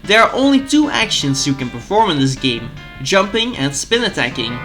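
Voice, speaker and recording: A man's voice: on the high side, very animated, normal speed, pushed and bright, soft background music. A man in his twenties whose first language is Dutch, eagerly hosting a retro game commentary. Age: 20s. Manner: eager, fan-like. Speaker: YouTube host.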